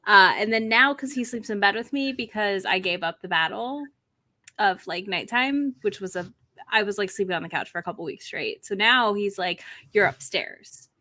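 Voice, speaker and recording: A woman's voice: medium pitch, normal speed. A woman co-hosting a livestream, telling casual stories and acting out the quotes as she goes. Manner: animated storytelling, acts out pets' thoughts. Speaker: livestream co-host. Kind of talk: casual chat.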